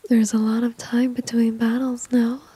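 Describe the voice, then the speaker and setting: A woman's voice: fairly high pitch, little pitch movement, normal speed, warm tone, husky, dry recording. A woman playing a flirty, dominant, confident villain in an ASMR roleplay, whispering breathily and teasingly close to the microphone. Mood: coaxing, confident. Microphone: close microphone, dry.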